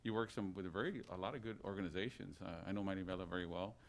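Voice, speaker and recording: Low deep guy voice, halting informal remarks at a city meeting, bit of room noise. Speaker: presiding city leader.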